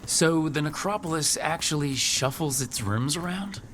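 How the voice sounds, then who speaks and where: A man's voice: on the high side, lively intonation, normal speed, a bit bright, slight background noise. An actor playing a plain-spoken male adventurer in a fantasy video game, talking in a casual, wry way.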